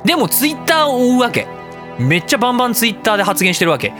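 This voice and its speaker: A man hosting a comedic web radio show, telling stories in a casual, animated way and acting out conversations in a self-deprecating tone. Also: extremely high pitch, fast. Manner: animated storyteller, acting out both sides of conversations. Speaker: radio show host and creator of radio dramas. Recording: music playing behind the voice. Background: background music.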